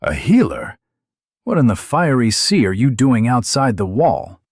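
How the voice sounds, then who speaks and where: Guy narrator doing a beat-up one-legged fighter, 40s, gruff and stoic, talks through his teeth. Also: medium pitch, big pitch swings, measured pace, dry recording, studio quality, exaggerated voice. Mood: incredulous. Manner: terse, gritted-teeth warnings. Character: badly wounded one-legged male warrior in his forties, gruff and stoic. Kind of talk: audiobook character voice.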